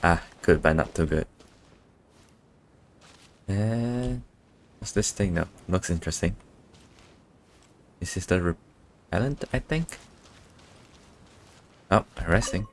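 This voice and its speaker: A man's voice: low voice, animated, slow pace, pauses often, a little hiss or hum. A man giving casual, offhand commentary in short reactions as he streams a video game. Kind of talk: casual live playthrough commentary.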